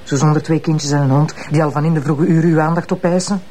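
Quick, sharp Flemish woman prosecutor, an actress's role. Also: deep voice, steady tone, slight background noise, close to an everyday voice. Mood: sarcastic, hurt. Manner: dry, sarcastic. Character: sharp-tongued female deputy prosecutor and exasperated wife. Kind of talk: naturalistic television drama dialogue.

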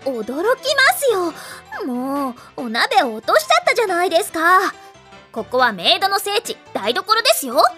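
A dutiful young maid with an extremely high, bright voice. She is polite and stubborn, and her lines are lively, in anime drama style. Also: moderate pace, faint music behind, exaggerated voice. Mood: puzzled, flustered. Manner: polite, earnest, firm.

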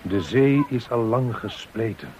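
An actor playing a soldier recalling the sea and a comrade in measured radio drama poetry. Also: male voice, medium pitch, expressive, very noisy, lightly acted. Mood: reflective.

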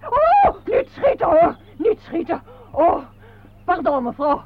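Muffled old radio play: high-voiced chatterbox receptionist, excitable, fussy and teasing. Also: female voice, takes it slowly, exaggerated voice. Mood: alarmed, curious. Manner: exclamatory, teasing, theatrical. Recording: dull, noisy old radio recording.

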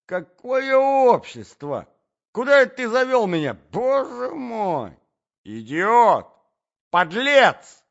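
Man acting a domineering matron, furious, spiteful, high exclamations, swooping intonation, storybook drama, slightly dull recording. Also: sing-song, slow, vocal fry, heavily acted. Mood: furious despair. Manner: loud, venomous, exclamatory.

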